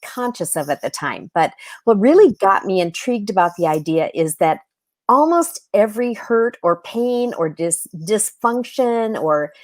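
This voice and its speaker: A woman's voice: medium pitch, lively intonation, measured pace, no echo. A woman speaking as an interview guest in a reflective, conversational style, with an earnest, thoughtful way of explaining things.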